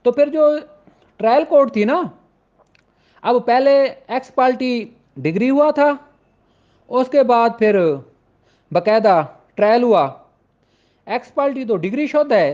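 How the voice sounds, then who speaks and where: Male law teacher, notably high voice, informal and insistent explainer who restates each point, dull low-fidelity sound. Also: lively intonation, a little slow, a little mellow. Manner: emphatic, repeats points for clarity. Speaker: law lecturer. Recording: dull, lo-fi audio.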